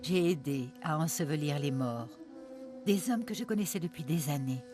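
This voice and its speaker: An actress playing a sorrowful, devout woman remembering the dead, in scripted storytelling for an animated film. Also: low-pitched, normal speed. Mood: mournful. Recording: music under the voice.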